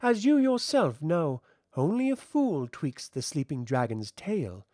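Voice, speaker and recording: A man voicing an ancient elemental being in child form, calm and lofty, with formal archaic speech in a fantasy audiobook. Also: fairly high pitch, measured pace, no room sound, clean studio sound. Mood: solemn.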